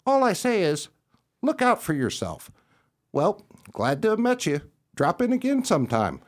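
A man's voice: sits high, huge pitch swings, normal speed. A man playing a frank, friendly, streetwise dog with a folksy manner in a radio play. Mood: frank, advising. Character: streetwise, frank, friendly older-hand dog giving advice.

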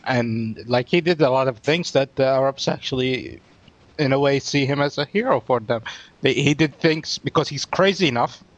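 A man's voice: medium pitch, no echo. Matter-of-fact man, dull dry sound. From Saudi Arabia. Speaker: podcast guest. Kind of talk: casual group discussion.

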